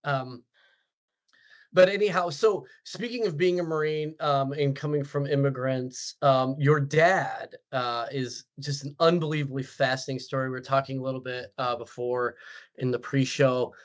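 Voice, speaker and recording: A man's voice: mid-range voice, steady tone, normal speed. An American man hosting a podcast, joking easily and talking loosely with many fillers. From USA. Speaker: podcast host. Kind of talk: casual interview chat.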